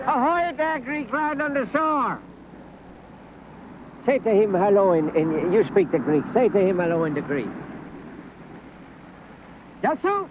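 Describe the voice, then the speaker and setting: An actor playing a boastful, excitable pirate captain who also cooks, talking pompously. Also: male voice, high voice, measured pace, long pauses, background noise. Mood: blustering.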